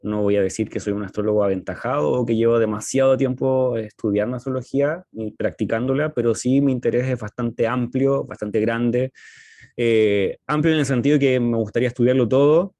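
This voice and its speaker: A man with a Chilean accent talking casually as a podcast co-host, in a halting, hesitant way with frequent pauses. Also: medium pitch, warm tone, no echo. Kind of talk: casual conversational podcast talk.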